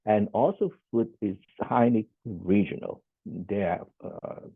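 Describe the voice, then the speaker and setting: A very deep-voiced man presents as a social worker over a video call, with a husky, gravelly tone. He talks in a measured, deliberate way and sums up each point with "okay". The sound is tinny and phone-like, close and dry, with no room echo. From Hong Kong. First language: Cantonese. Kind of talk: conference presentation.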